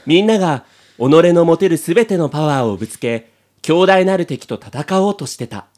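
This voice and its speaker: A man's voice: a little high, animated, normal speed, darkish tone. A man hosting a podcast and reading scripted narration as its presenter.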